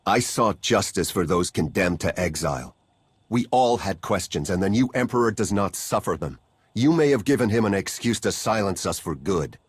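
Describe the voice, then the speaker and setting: A man's voice: low-pitched, expressive, normal speed, husky, no echo. An actor playing a weary male refugee in a video game, speaking earnestly and pleadingly. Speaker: refugee leader. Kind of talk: video game cutscene acting.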